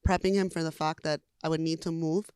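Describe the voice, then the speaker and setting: A young woman singer chats through an interview in a smooth, resonant voice, peppering her talk with "like" and laughing easily. The sound is close and dry, with no room around it. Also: sits low, little pitch movement, brisk, darkish tone, no echo. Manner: chatty, frequent "like" fillers, laughs easily. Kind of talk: casual interview chat.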